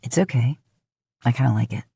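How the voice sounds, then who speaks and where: A woman's voice: rumbling low, a little fast, creaky, warm tone, breathy edge, dry recording, clean studio sound, voice pushed down, full character voice. A woman voicing a flirtatious, easygoing man in his mid-twenties with a casual, teasing drawl, in an audio fan fiction. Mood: teasing, reassuring.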